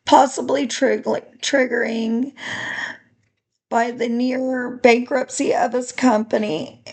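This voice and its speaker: A woman with a raspy voice from a recent illness, slowly reading through a clinical case study presentation with careful small restarts. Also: on the high side.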